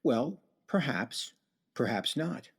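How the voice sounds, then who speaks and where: A man narrating and hosting a community radio play in a measured, wry storytelling manner. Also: mid-range voice, very animated, gravelly, breathy edge. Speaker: narrator and show host. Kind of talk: scripted radio drama narration.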